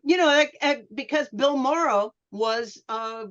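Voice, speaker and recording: A woman's voice: high voice, unhurried, fairly bright tone. A woman speaking hesitantly, with many ums, as a panelist in a casual discussion. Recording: dull lo-fi online call audio.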